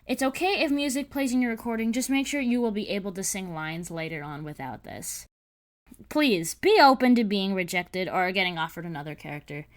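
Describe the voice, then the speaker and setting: A woman's voice: medium pitch, expressive, brisk. A woman narrating scripted audition instructions and character descriptions, with precise, emphatic enunciation. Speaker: creator of an animated series giving a casting call.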